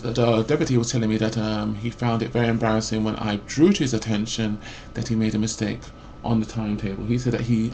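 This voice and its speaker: A man in his forties, a mental health support worker, ranting about his job in a loud, rambling way full of fillers and restarts. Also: medium pitch, gravelly, slight background noise. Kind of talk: rambling personal rant.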